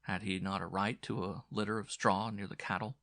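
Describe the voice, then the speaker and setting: A man's voice: on the low side, flat delivery, fairly quick, gravelly, fairly bright tone, no echo, clean studio sound. A man giving a dramatic scripted narration of a horror story as an audiobook narrator.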